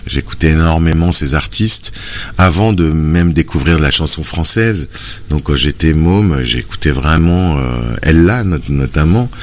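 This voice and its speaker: A man with an extremely low, deep voice talks about his songs as an interview guest. He speaks hesitantly, stopping often and restarting his sentences. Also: animated, measured pace. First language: French. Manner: hesitant, many fillers and restarts. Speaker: musician guest. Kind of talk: relaxed conversational interview.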